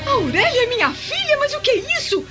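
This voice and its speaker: A woman's voice: very high voice, big pitch swings, fairly quick, bright, forceful tone, full character voice. A woman playing a haughty, scolding Roman mother in a melodramatic radio drama. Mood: scandalized outrage. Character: shrill, haughty Roman mother, scandalized and snobbish. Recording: dull lo-fi radio drama, music underneath.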